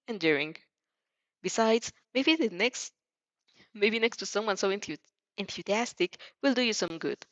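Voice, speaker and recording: A woman's voice: medium pitch, a bit bright, no room sound. Muffled dry recording of a woman reading a story, stumbles and restarts, kinda giggly. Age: adult. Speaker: fan fiction reader and dubber. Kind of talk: expressive story reading with casual reactions.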